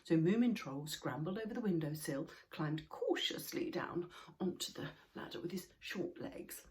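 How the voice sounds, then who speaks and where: A woman narrating a children's story expressively and deliberately, sometimes dropping into a hush. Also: on the low side. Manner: expressive, deliberate, with hushed passages.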